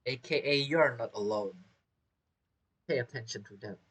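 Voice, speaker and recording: A man's voice: medium pitch, normal speed, fairly bright tone, husky. A man giving casual, joking, reactive commentary as he streams a game. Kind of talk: casual commentary over gameplay.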